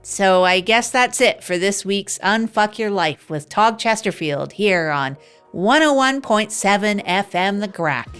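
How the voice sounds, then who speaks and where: Woman, mid-pitch, deadpan small-town radio host, rambling and self-deprecating, light music under her. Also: a little slow, clear, resonant tone. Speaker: radio show host character. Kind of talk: improvised comedy radio monologue.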